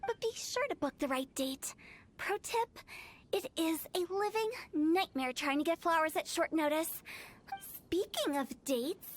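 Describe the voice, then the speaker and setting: A woman voicing a mischievous young female funeral director who makes cheerful, macabre sales pitches in a game. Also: animated, measured pace. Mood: teasing. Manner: teasing, salesy, darkly playful. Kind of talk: video game voice acting.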